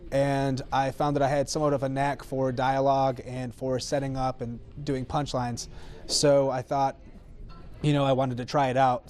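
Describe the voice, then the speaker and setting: A man in his twenties from Michigan, a comedian, talking in a measured, thoughtful way in an interview. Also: mid-range voice, darkish tone. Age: 20s. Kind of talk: casual interview.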